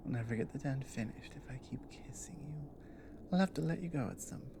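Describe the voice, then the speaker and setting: A woman voicing a big, affectionate female orc companion in an intimate ASMR roleplay, speaking softly and slowly in a breathy half-whisper. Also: very deep voice, lively intonation, voice pushed down. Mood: affectionate, protective. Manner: slow, intimate, tender.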